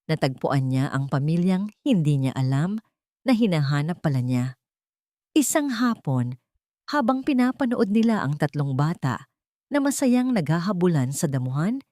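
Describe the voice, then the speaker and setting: A woman with a Filipino accent narrating a scripted dramatic story in an expressive, sentimental way. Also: low-pitched, moderate pace, no echo, studio quality. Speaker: audiobook narrator.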